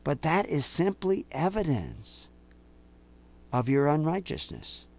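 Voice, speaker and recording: A man preaching a sermon to his listeners, accusing and emphatic, slowing down to quote scripture in a measured cadence. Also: a little high, very animated, a little mellow. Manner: intense, rising to emphatic outbursts; accusing, speaks directly to listeners.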